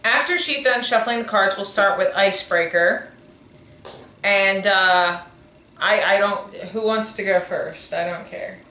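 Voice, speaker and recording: A woman's voice: medium pitch, unhurried, bright, forceful tone. A woman in her twenties co-hosting a podcast, chatting casually and rambling as she corrects herself. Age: 20s. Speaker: podcast co-host. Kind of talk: casual chat. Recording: echoey room, faint noise.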